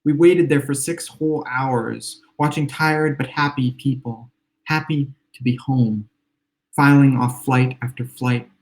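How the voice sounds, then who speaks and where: A man with a slightly hoarse, worn voice reads his own literary fiction in a measured, reflective way. His pitch sits in an ordinary middle range, and he lets the first-person lines unfold at an unhurried pace. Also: steady tone, low-quality recording. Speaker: author. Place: home room with exposed brick.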